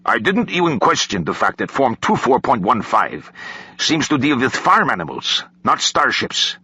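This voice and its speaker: A man performs an aging Russian-accented admiral for an audiobook. He grumbles in formal, stilted sentences, wry and exasperated, with a forceful, roughened tone. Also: medium pitch, very animated, normal speed, no echo, voice pushed up, exaggerated voice.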